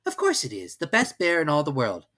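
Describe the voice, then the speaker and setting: A man's voice: fairly high pitch, wildly swooping pitch, brisk, a bit bright, voice pushed up. A man voicing an eager, earnest young boy.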